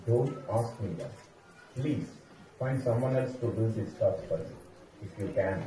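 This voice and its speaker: Guy doing theatrical village sculptor in amateur play, really dark tone, echoey n far off. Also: fairly low pitch, unhurried, a little hiss or hum, close to an everyday voice. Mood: fearful, refusing. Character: animated village deity sculptor, eager then fearful. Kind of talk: amateur stage drama. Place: temple hall stage. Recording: muffled, echoey hall, distant mic, faint music.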